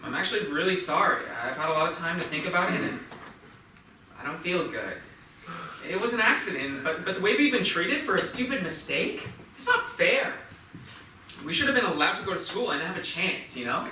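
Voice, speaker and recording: A man's voice: sits high, sing-song, brisk, sharp tone, heavily acted. A man in a staged reading playing a resentful, defiant teenage boy with a blunt, aggrieved way of talking. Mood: sullen apology. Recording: very echoey theatre, distant mic, faint noise.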